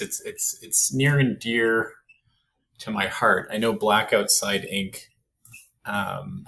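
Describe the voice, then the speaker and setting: Goofy, rambling Canadian host. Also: male voice, on the low side, gravelly, pushed and bright, a little hiss or hum, rough recording. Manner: goofy, rambling, laughs often. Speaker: podcast host. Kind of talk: casual interview.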